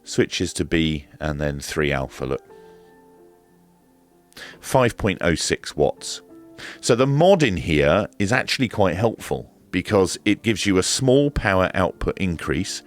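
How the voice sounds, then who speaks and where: Very deep-voiced man reviewing gear. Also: sing-song, a little slow, faint music behind. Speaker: presenter of a radio equipment review. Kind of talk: hands-on demonstration and review commentary.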